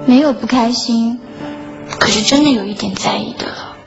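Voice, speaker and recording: A woman as a wistful high-voiced lovelorn schoolgirl. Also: normal speed. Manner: wistful, earnest, softly self-effacing. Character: lovelorn schoolgirl narrating her quiet heartbreak. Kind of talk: Chinese radio drama acting with inner monologue. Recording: dull lo-fi audio under clear music.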